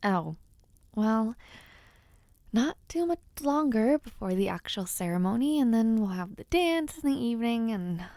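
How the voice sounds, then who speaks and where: A young noblewoman in an audio roleplay, teasing and a little flustered, voiced by a woman. Her pitch swings widely and she speaks at an unhurried pace. The voice is recorded close up and dry. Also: animated, dark tone, no echo. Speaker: voice actor.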